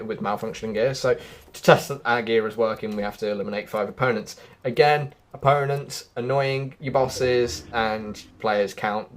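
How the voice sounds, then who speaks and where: Man doing casual gaming commentary. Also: fairly low pitch. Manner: addresses viewers as folks, thinks aloud. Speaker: gaming video host. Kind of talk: casual gameplay commentary and quest walkthrough.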